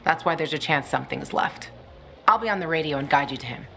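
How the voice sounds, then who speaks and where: A low, forceful-edged voice as a cool young female survivor in a game. She talks in a wry, teasing, casual way, like a guide who has seen it all. Faint noise sits behind her. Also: expressive, quick pace, creaky, heavily acted. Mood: matter-of-fact, scornful. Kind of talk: video game cutscene dialogue.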